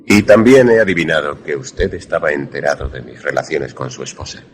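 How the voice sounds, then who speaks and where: An actor playing a wary, grave protagonist who narrates a radio suspense drama formally. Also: male voice, a bass voice, fairly quick, a little hiss or hum. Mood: tense. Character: wary male narrator-protagonist, secret lover in danger.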